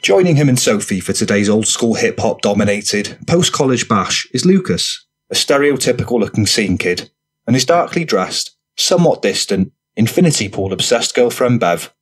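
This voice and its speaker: A man's voice: mid-range voice, big pitch swings, moderate pace, slightly rough. A man narrating a gritty crime audiobook, reading scripted present-tense fiction.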